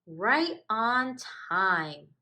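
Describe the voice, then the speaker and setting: A woman voicing a gruff but friendly, proud male eagle in a children's picture book, talking in a formal, measured, avuncular way. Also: medium pitch, very animated, bright, forceful tone, exaggerated voice.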